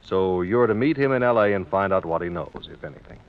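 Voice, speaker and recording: A man's voice: sits low, expressive, fairly quick. An actor playing a brusque, knowing male insurance adjuster boss in 1950s radio drama style. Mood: cautioning. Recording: dull vintage radio recording.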